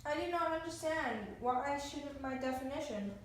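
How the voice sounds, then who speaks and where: A woman's voice: high voice, normal speed, lightly acted. A woman playing a polite, literal robot in readers theatre, speaking in formal, deferential phrases. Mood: curious, puzzled. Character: polite, literal, eager-to-learn household robot. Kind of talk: amateur readers theatre performance. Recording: distant mic, very echoey room, faint noise.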